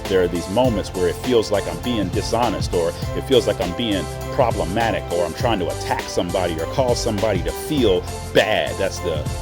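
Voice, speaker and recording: A middle-aged American man from Oklahoma giving a reflective monologue in an earnest, deliberate and emphatic way, pausing between phrases. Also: low-pitched, over music. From Oklahoma, United States. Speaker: host.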